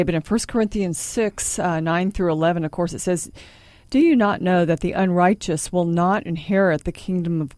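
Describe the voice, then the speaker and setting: Low-voiced woman radio host. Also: a little mellow, no echo. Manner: measured, leading questions. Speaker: radio talk show host.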